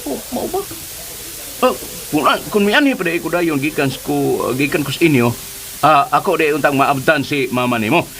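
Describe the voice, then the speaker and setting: An actor playing a leering, flustered older Filipino uncle in a radio drama, stammering, hemming and exclaiming. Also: male voice, fairly high pitch, expressive, normal speed, a bit bright, poor audio. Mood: flustered, eager.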